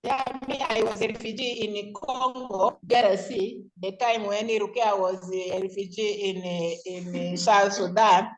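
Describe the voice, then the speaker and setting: A woman's voice: mid-range voice, steady tone, measured pace, few pauses, rough recording. A woman with a Ugandan accent speaking informally as the president chairing an online club meeting. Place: remote video call.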